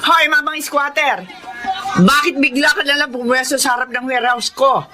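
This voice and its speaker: An actress in a radio drama playing a haughty, greedy warehouse owner who scolds and bullies. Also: female voice, high voice, fairly even intonation, moderate pace, shouting, a loud crowd, heavy background noise, lightly acted. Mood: scolding, threatening. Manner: scolding, domineering.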